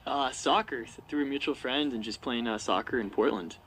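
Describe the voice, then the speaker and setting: A man's voice: medium pitch, fairly bright tone, slight background noise, rough recording. Easygoing man in his thirties. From Washington state, US. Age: 30s. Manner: easygoing, halting with fillers. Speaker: hostel co-owner, guest. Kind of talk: radio interview.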